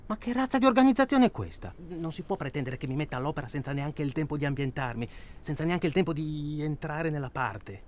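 A man's voice: fairly high pitch, expressive, a little fast, hardly any pauses, close to an everyday voice. An actor playing a stubborn, touchy paying client in a radio drama, insistent and defensive in his way of talking. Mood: indignant. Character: stubborn, fussy male paying client, touchy amateur shooter. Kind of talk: naturalistic Italian radio drama acting.

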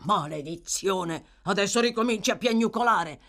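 A woman's voice: low voice, animated, normal speed, slightly rough. A woman voicing an irascible, brutal male thief in an audiobook, with a growling, impatient, menacing delivery. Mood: angry, scornful. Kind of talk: audiobook character voice.

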